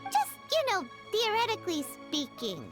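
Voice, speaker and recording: Game fairy companion: childlike, chatty, very high voice with wildly swinging intonation. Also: female voice, moderate pace, full character voice. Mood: chatty, awkward. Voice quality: squeaky, childlike. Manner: excitable, blurting. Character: small floating fairy companion, childlike, chatty, excitable girl. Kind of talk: video game voice acting. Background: game music.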